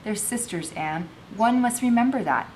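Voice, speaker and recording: A woman reading aloud plays the male master of a colonial house. He is measured, firm and dismissive, in a storybook telling. The recording has faint noise and rumble. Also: expressive, darkish tone, lightly acted. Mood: quiet reasoning. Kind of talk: storybook read-aloud character voice. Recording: noisy home recording with low rumble.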